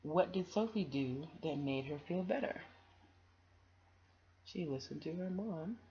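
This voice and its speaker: A woman's voice: sits low, lively intonation, measured pace, highs cut off, slight background noise. A woman doing a children's storytime, reading and chatting to listeners in an encouraging, affectionate way.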